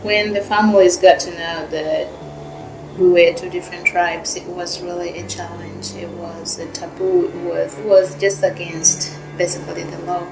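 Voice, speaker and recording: A young woman from Rwanda recounts her own story in a soft, earnest voice. Her tone sits low and stays fairly flat. She sounds distant from the mic in a roomy space, with music playing underneath. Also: normal speed, gravelly. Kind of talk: personal testimony.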